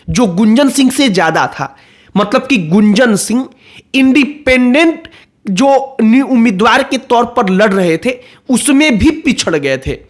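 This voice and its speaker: A man's voice: very high voice, a bit bright. Man explaining political news. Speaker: political commentator, host.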